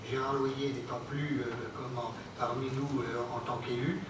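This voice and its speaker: A man, an elected local official, formally presenting a council report in a halting, word-searching way. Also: mid-range voice, flat delivery. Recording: very echoey hall, distant mic, noisy.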